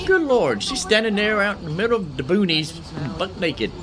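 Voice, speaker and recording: A man's voice: sits high, very animated, moderate pace, crowd noise. A man hosting a gaming video with casual, rambling commentary, repeating phrases as he thinks aloud. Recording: game music behind voice. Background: game music and sounds.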